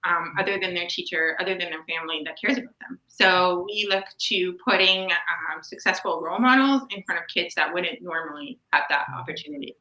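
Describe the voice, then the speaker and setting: A Canadian woman in her thirties answers interview questions in a bright, crisp voice that carries a roomy echo. She talks in a chatty way, speeding up in bursts and laughing often. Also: on the low side, fairly quick, few pauses. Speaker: guest, nonprofit educator. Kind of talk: casual interview chat.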